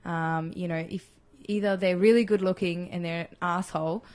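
A woman in her twenties from Chile, a podcast guest talking in a relaxed, thoughtful way with many fillers. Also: on the low side, moderate pace. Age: 20s. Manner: thoughtful, filler-heavy, laughs easily. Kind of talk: casual interview chat.

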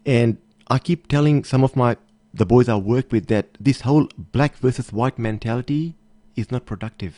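A man's voice: mid-range voice, moderate pace, mellow, no echo. A man telling personal anecdotes in an interview, speaking emphatically and repeating phrases for stress. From Australia. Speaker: podcast guest, motivational speaker.

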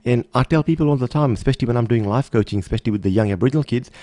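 A man's voice: mid-range voice, racing, warm tone, no room sound. A man speaking as an interview guest and motivational coach, earnest and emphatic, often repeating phrases. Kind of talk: conversational interview, motivational talk.

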